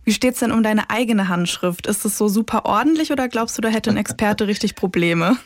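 Woman, medium pitch, dry studio-like sound, casual podcast co-host. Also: fairly quick, no room sound. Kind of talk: casual interview questions and chat.

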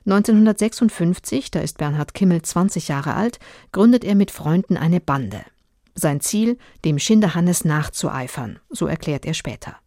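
A woman's voice: lowish voice, animated, normal speed, warm tone, no room sound, clean studio sound. A woman reading a scripted case summary in a sober, factual documentary style.

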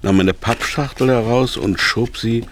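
A man's voice: medium pitch, measured pace, natural voice. A man playing a frightened kidnapped businessman in a radio drama, telling his thoughts in terse fragments. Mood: tense, observing. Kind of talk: radio drama inner monologue and narration. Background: music.

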